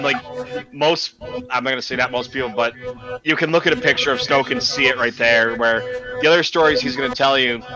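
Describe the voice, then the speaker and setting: A man's voice: mid-range voice, lo-fi sound. A man hosting a casual live chat, telling stories in a rambling way with many fillers. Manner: rambling, filler-heavy storytelling. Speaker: host.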